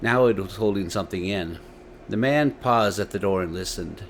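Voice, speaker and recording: A man's voice: fairly low pitch, moderate pace, slight background noise. A man hosting a story series and narrating tales dramatically into a desktop microphone. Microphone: desktop USB microphone.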